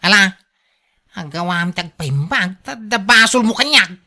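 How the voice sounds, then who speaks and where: A woman's voice: mid-range voice, lively intonation, measured pace, bright, forceful tone, exaggerated voice. A woman with an Ilocano accent playing an angry, suspicious husband in a radio drama, scolding and exclaiming. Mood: angry, accusing. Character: angry, suspicious husband robbed of his buffalo.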